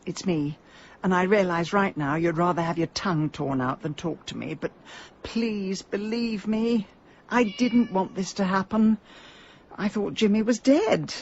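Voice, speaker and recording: An actress playing a sharp-tongued, exasperated middle-aged woman in a radio drama, dry, blunt and sardonic. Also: lowish voice, breathy edge, dull audio, heavily acted. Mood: pleading.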